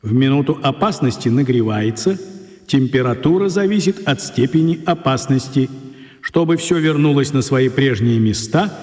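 A man's voice: mid-range voice, animated, moderate pace, a little mellow. A man voicing a wizard's solemn written instructions in a radio play, formal and heavy with reverb. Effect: long reverb. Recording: heavily reverberant, hall-like effect.

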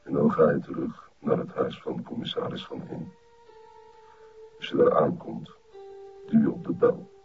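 Sinister flemish cult boss, super deep voice, cold n bossy, music behind, muffled tv audio. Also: male voice, fairly even intonation, moderate pace, vocal fry, long pauses. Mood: controlled, instructing. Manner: cold, terse, domineering. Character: sinister, commanding cult master controlling an addicted follower. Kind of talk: Flemish TV crime drama acting.

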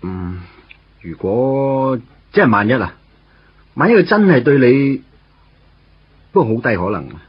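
A man's voice: medium pitch, sing-song, a little slow, pauses often, slightly rough. An actor playing a sarcastic young man who narrates his own story in a Hong Kong Cantonese radio drama, with dry, teasing quips. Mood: earnest. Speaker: lead character and narrator. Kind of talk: 1990s radio drama acting. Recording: dull lo-fi radio recording, faint music.

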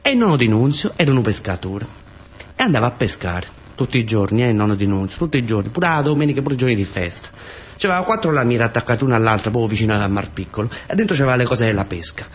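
A man's voice: medium pitch, animated, fast talker, a little hiss or hum. Southern Italian man telling a theatrical story. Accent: southern Italian, Taranto area. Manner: insistent repetition of phrases. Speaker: actor-storyteller. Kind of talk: theatrical narrative monologue in colloquial speech.

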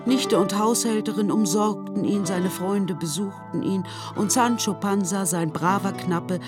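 A woman's voice: medium pitch, unhurried, music in the background. A woman reading scripted literary narration for a radio play.

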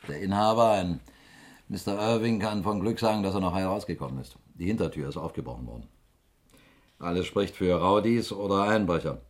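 A male actor plays a hefty, dour police inspector in a vintage German radio crime drama. His voice is very low. He speaks drily and matter-of-factly. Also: brisk, lightly acted. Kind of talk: 1960s German radio play acting.

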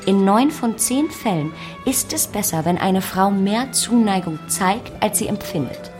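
A woman's voice: medium pitch, lively intonation, a little slow, a little mellow, close to an everyday voice. An actress in a German radio drama playing a pragmatic, unromantic young woman, a frank and knowing confidante. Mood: knowing advice. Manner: matter-of-fact, frank, knowing. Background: music.

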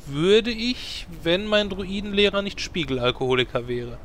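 A hapless druid man, voiced by an actor in a comedic fantasy audio play. The voice sits a little high and sounds whiny and resigned, with faint noise behind it. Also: animated, normal speed, a bit bright. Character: hapless, put-upon male druid shapeshifter.

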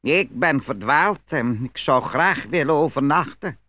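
A man's voice: a little high, animated, a little slow, bright, forceful tone, no room sound, exaggerated voice. A man playing a poor, cunning, cheerful little farmer in a fairy tale audio play. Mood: sly, amused.

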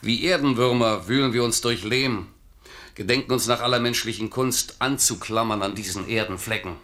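An actor playing an idealistic soldier-poet who speaks in a fervent, declamatory way in a radio drama. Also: male voice, mid-range voice, normal speed, a bit bright, close to an everyday voice.

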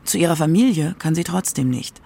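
A narrator reading a scripted radio documentary in a measured, prepared way. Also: medium pitch, lively intonation, gravelly, mellow, a little hiss or hum.

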